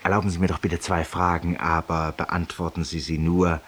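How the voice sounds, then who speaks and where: Deep, husky, urbane talker. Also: male voice, little pitch movement, normal speed, a bit bright. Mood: suave, ingratiating. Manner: polished, loquacious, ironic, rhetorical. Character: urbane, talkative, self-mocking judge-penitent, a tavern regular. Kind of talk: 1950s German radio drama monologue. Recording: distant-sounding 1950s radio recording.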